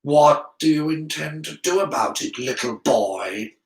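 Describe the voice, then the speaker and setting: A man voicing a cold, sneering, angry schoolteacher in an audiobook story, slow and full of contempt. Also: medium pitch, animated, a bit bright. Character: sneering, cold, angry adult male potions teacher. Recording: roomy echo.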